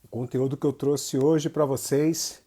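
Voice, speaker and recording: A man's voice: mid-range voice, fairly even intonation, a little slow, warm tone, breathy edge. A man with a northeastern Brazilian accent teaching casually as a livestream instructor, informal and slangy, often repeating phrases for emphasis. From Jacobina, Bahia, Brazil. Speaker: capoeira teacher. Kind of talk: casual livestream lesson, greeting viewers.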